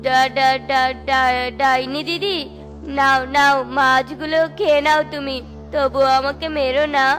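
A woman's voice: high voice, fairly even intonation, measured pace, a bit bright, soft background music. A woman voicing a poor, timid father bird in a children's cartoon, with a plaintive, humble manner. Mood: frightened, pleading. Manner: plaintive, pleading.

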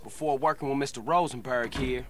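A man's voice: mid-range voice, brisk, fairly bright tone, lightly acted. A man playing a confident young street gangster in a video game cutscene. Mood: smooth, confident.

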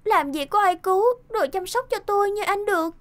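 A woman voicing a plucky, pleading young fairy-tale princess in an animated cartoon, eager and insistent. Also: very high-pitched, brisk. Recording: music behind the voice.

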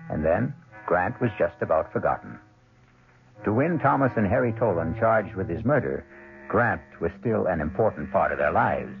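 A man playing the ominous, deliberate host of a 1970s radio mystery drama, with a gravelly voice. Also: lowish voice. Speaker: host and narrator. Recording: tinny restored 1970s radio broadcast. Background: music.

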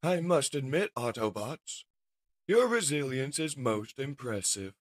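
Imperious, high-pitched villain robot. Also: male voice, animated, unhurried. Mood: cold menace. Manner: pompous, menacing, formal. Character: cold, imperious villain robot scientist, male.

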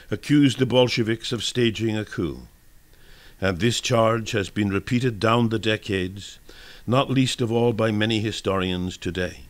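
A man's voice: on the low side, no room sound. A man narrating scripted history for radio in a formal, expository manner.

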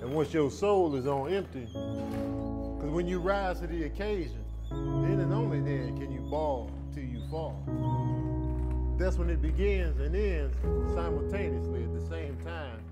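A man's voice: on the high side, lively intonation, a little slow. An actor playing an eccentric male office janitor in a comedy sketch, solemnly proclaiming nonsensical proverbs. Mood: grandiose. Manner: solemn, pompous, proclaiming advice. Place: open-plan office and restroom, indoors. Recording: hall reverb, music behind.

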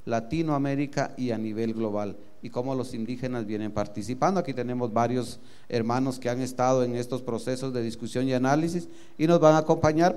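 Male moderator with a warm, resonant voice, measured and engaging the audience. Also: medium pitch, clean tone. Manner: measured, checking in with "¿sí?". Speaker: conference moderator. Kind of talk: conference introduction. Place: large hotel conference room. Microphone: handheld microphone.

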